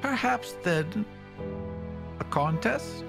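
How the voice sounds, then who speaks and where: A man playing a proud, scheming mortal petitioner in a tabletop fantasy game, with a theatrical, halting delivery. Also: high-pitched, expressive, vocal fry, music in the background, full character voice. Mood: sad, earnest. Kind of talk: tabletop roleplay acting.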